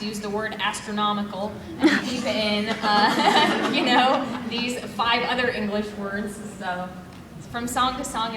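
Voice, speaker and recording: Woman, upbeat panel explanation, faint room hum, heavy hall echo. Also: a little high. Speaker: voice actress on a convention panel. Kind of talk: casual panel explanation to a live audience. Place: large convention hall. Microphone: audience member's camera from far off. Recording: distant echoey hall audio from audience.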